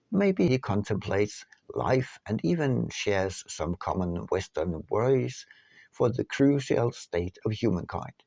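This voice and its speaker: A man narrating an audiobook from a script in a measured, deliberate way with emphatic phrasing. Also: fairly low pitch, vocal fry, dark tone, dry recording, dull audio. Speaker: author reading his own book.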